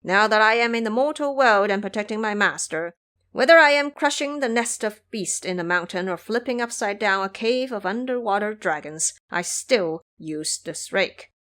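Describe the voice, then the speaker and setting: A woman's voice: medium pitch, animated, moderate pace, a bit bright, close to an everyday voice. A woman voicing a boastful, foolish male pig monk with a brash, bragging manner in an audio drama. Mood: proud.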